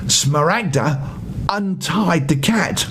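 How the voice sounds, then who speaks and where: An older man reading a children's bedtime story with expressive narration. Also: slow. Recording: faint rumbling background noise.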